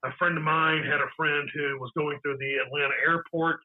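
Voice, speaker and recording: A raspy-voiced man chatting excitedly on a casual podcast, repeating words as he rushes on. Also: a little high, slight background noise. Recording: tinny phone-line sound.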